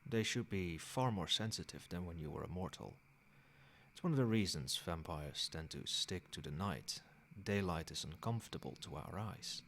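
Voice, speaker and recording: Man acting as low-voiced, possessive vampire sire. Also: animated, a bit bright, a little hiss or hum. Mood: soft, courteous. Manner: measured, formal, commanding. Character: possessive, controlling old male vampire sire, soft-spoken and stern. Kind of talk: soft-spoken ASMR roleplay.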